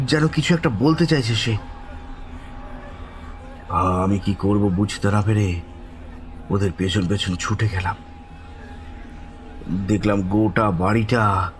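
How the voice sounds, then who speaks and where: An actor playing a bewildered, frightened man telling a village ghost story in the first person, with a halting, suspenseful, dramatic delivery. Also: fairly low pitch. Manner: halting, breathy repetitions, suspenseful, pleading in dialogue.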